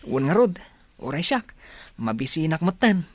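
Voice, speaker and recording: A man's voice: a little high, very animated, unhurried, no room sound. A man playing a friendly, easygoing college classmate in an audio drama, chatting in a casual, chummy way.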